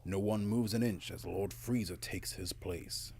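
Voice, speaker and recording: A man's voice: sits low, a little slow, darkish tone, slight background noise. A man reading scripted story narration in a dramatic style. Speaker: narrator of a fan-made motion comic.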